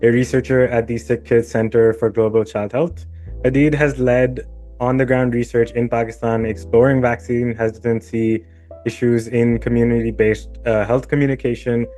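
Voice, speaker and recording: A man's voice: mid-range voice, level tone, moderate pace, mellow, faint music behind. A man hosting a podcast, introducing the subject and putting questions in a conversational way. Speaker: podcast host.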